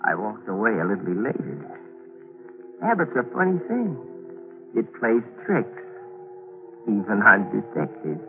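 A man's voice: on the low side, huge pitch swings, a little slow, pauses often, over music, heavily acted. A man in a 1940s radio drama playing a scheming plotter who also narrates, clipped and commanding. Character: cool, scheming male schemer plotting a cover-up. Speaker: narrator and lead character. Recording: tinny old radio broadcast with hiss.

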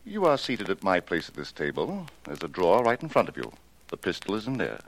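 An actor playing a smooth, scheming fortune-teller in a 1940s radio mystery, formal, measured and insinuating. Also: male voice, deep voice, huge pitch swings, heavily acted. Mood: guarded. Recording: noisy vintage 1940s radio recording.

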